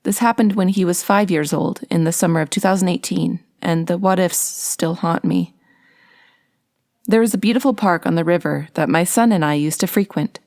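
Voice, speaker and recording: A woman's voice: fairly low pitch, normal speed, darkish tone. A woman reading a first-person scary story as scripted narration.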